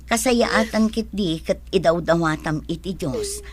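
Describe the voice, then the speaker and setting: A woman's voice: on the low side, a little slow, lightly acted. A woman acting a sensible, generous older sister from the village in a radio comedy drama, with a Filipino accent. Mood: admonishing.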